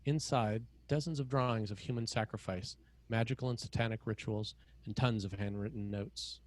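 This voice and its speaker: A man's voice: fairly low pitch, normal speed, dry recording. A man reading scene headings and stage directions as the narrator of a screenplay table read.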